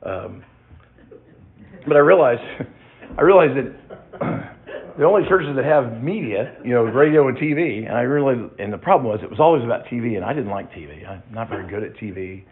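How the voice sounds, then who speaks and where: A man in his sixties giving a personal testimony to his church, reflective and halting, with many restarts. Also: mid-range voice, expressive. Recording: tinny phone-like sound.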